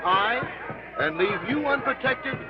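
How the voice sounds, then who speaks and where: A man's voice: high-pitched, animated, slow pace. An actor playing a gallant young man who speaks in a light, teasing way. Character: young suitor, gallant, joking. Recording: dull old film sound.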